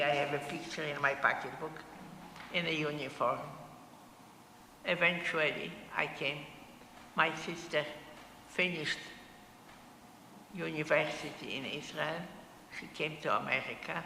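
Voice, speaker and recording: An elderly woman in her eighties, speaking, slowly gives her testimony in short, halting phrases. Her voice is gravelly and sharp-edged. It is heard in a long, echoing hall with faint noise in the background. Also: low-pitched. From Poland. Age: 80s. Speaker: Holocaust survivor speaker. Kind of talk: personal testimony to an audience. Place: synagogue hall.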